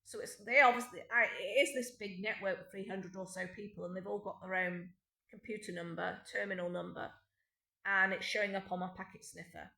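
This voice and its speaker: A woman's voice: medium pitch, fairly even intonation, brisk, vocal fry, sharp tone. A middle-aged British woman in an unscripted monologue at home, rambling and aggrieved, with many restarts and ums. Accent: British English. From Britain. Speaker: vlogger. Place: home indoors. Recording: echoey room.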